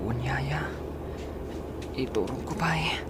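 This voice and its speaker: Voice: medium pitch, takes it slowly, heavy background noise, natural voice. An actor playing a sick, dizzy young son in a radio drama, weak and groaning, with an Ilocano accent. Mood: weak, suffering.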